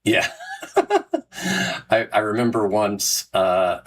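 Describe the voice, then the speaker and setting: A man's voice: fairly low pitch, wildly swooping pitch, drawn-out words, fairly bright tone, slight background noise. A middle-aged man hosting a casual talk show, who rambles, restarts often and laughs at himself. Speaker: podcast host and Renaissance fair performer. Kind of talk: casual solo chat with live listeners.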